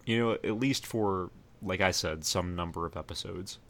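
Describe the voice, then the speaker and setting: A man co-hosting a podcast, talking casually about TV in a measured, thoughtful, conversational way. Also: sits low, a bit bright. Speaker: podcast co-host. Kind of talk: casual chat about TV shows.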